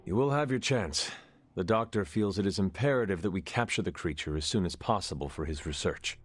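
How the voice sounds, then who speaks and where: An actor playing a solemn, earnest resurrected demon hunter in a video game, speaking formally and with measured phrasing. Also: male voice, low voice, animated, breathy edge. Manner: formal, measured, avoids contractions. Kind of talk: video game cutscene acting.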